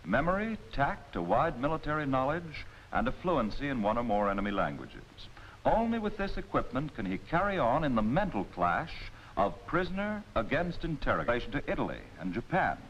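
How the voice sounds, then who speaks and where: Dull old soundtrack, wry 1940s American military film narrator, animated intonation, measured authority, music underneath. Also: male voice, mid-range voice, slight background noise. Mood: authoritative.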